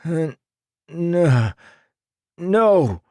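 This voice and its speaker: A man's voice: fairly high pitch, big pitch swings, extremely slow, dark tone, no room sound, clean studio sound, voice pushed up, full character voice. Man doing a frightened, stammering young superhero clone. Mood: horrified.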